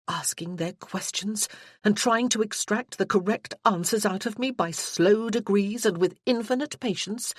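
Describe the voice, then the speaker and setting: A woman's voice: medium pitch, no room sound, clean studio sound, voice pushed up, lightly acted. A reader voicing a proud, fiery young betrothed lady from a Regency romance, haughty and fierce in her speech.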